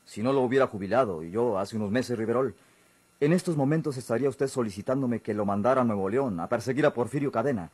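A Mexican actor playing a stern, dismissive police chief in a golden-age radio drama, speaking formally and with curt authority. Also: male voice, medium pitch, fast, darkish tone, breathy edge, no echo, close to an everyday voice.

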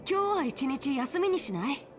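An actress voicing a spirited, cheeky young girl swordfighter in a video game, informal, pouty and expressive. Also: high voice, normal speed, soft background music. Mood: confident, breezy.

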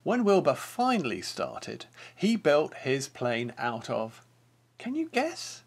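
An English man in his fifties reads a children's story aloud with theatrical expression. His pitch swings widely, while his pace stays unhurried and his voice has a gravelly edge. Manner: theatrical, expressive, addressing the listener. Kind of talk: picture book read-aloud.

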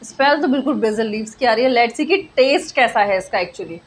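A woman in her twenties reviewing food at a small cafe, chatting casually and drawing out her tasting remarks slowly. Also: a little high, animated, slight background noise. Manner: chatty, mixes Hindi and English, slow drawn-out tasting remarks. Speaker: food vlogger. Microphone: handheld phone camera at arm's length.

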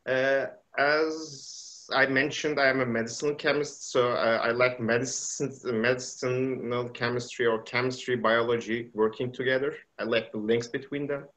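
A man from Turkey, a pharmacy professor, giving a webinar talk in a halting way with frequent fillers and restarts over a video call. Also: mid-range voice, fairly even intonation, fairly bright tone. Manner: hesitant, many uh fillers and restarts. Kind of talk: presentation lecture.

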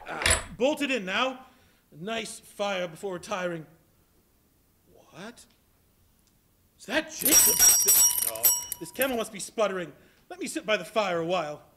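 Casting: man as cantankerous old miser for stage drama; high, theatrical, widely swinging delivery. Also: very animated, a little slow, pauses often, heavy background noise. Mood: wary, muttering. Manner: theatrical, exclamatory. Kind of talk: live stage acting. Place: live on a college hall stage before an audience.

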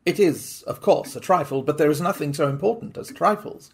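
A man voicing a sharp, analytical Victorian detective who speaks in a crisp, deliberate way. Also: fairly high pitch. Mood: explaining. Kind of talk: audiobook reading.

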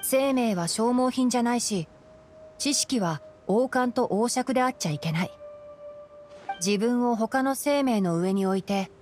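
Calm, studious young male forest ranger. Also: fairly high pitch. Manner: measured, articulate, gently admonishing. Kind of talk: Japanese video game voice acting.

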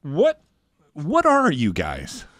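A man's voice: fairly high pitch, huge pitch swings, takes it slowly, fairly bright tone, dry recording. A man hosting a radio interview, joking and teasing his guests. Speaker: radio host.

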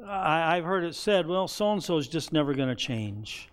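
A man's voice: a little high, expressive, moderate pace. An older man preaching a conversational sermon from behind a pulpit in a church sanctuary, acting out quoted voices as he goes. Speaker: pastor.

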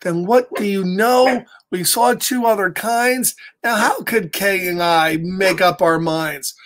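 A man's voice: high voice, takes it slowly, a bit bright. An American man in his fifties reading a picture book to children expressively, with playful, chatty asides to his young viewers. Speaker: storyteller for children. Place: small home room, indoors.

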